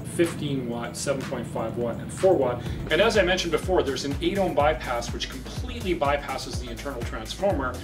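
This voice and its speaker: Male product presenter, 50s, plain explanatory delivery, recorded roomy and a bit off the mic. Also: fairly high pitch. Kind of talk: product demonstration to camera. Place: indoor studio set with branded backdrop. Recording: roomy, distant mic, music behind.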